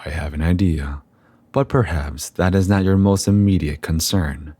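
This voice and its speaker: An actor playing a grave, ominous university scholar in a horror audio drama, speaking formally and deliberately with a theatrical air. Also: male voice, low-pitched, dark tone, breathy edge, no room sound, studio quality. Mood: grave, guarded. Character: grave, ominous male university scholar guarding occult secrets.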